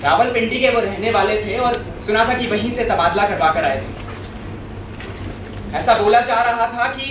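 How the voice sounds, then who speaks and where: A man's voice: high-pitched, fairly bright tone. Echoey actor as an indignant male clerk narrator. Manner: dramatic storytelling with emphatic repeated phrases. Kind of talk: theatrical stage monologue. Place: theatre stage in an auditorium. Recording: echoey auditorium, distant noisy mic.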